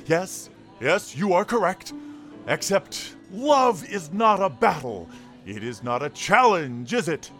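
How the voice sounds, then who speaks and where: An actor playing a theatrical tavern storyteller in a fantasy audio drama, grandiose and suspenseful, with drawn-out pauses. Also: male voice, high voice, big pitch swings, fairly bright tone, faint music behind, full character voice. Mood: showy, playful. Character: theatrical tavern storyteller, a showman spinning heroic tales.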